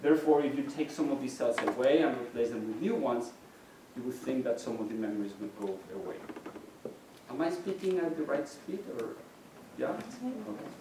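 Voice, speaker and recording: A middle-aged man, a native Spanish speaker, explains patiently as a lecturer, often restarting his phrases. His mid-pitched voice comes from some distance away. It rings through a very echoey hall over faint background noise. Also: animated, moderate pace. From Mexico. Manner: explanatory, with frequent restarts and fillers. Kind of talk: university lecture.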